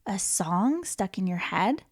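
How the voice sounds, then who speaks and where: A woman reading a children's book aloud as a storytime host, in an expressive, playful manner with questions to the listener and dramatic pauses. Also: mid-range voice. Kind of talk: animated children's read-aloud.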